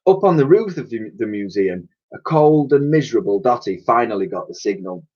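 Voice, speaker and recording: A man's voice: on the low side, lively intonation, fairly quick, a little mellow, slightly rough, lo-fi sound. A man reading a children's story aloud like a teacher, with theatrical, suspenseful narration and emphatic sound effects spoken by mouth.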